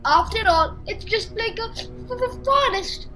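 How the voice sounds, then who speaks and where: A woman's voice: very high-pitched, lively intonation, unhurried, pushed and bright, soft background music, exaggerated voice, low-quality recording. A woman playing a nervous, polite young boy with a stammer in a radio play. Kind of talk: amateur radio play acting.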